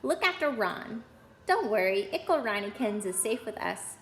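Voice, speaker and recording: Woman putting on animated, cheeky teenage boy, high-pitched. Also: moderate pace, slight background noise. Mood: mocking, cheeky. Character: cheeky, excitable teenage twin brothers teasing everyone. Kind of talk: storybook read-aloud character voice.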